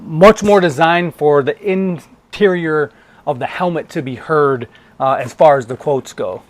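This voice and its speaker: Higher-pitched man, casual reviewer. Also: a little slow. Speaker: YouTube collectibles reviewer.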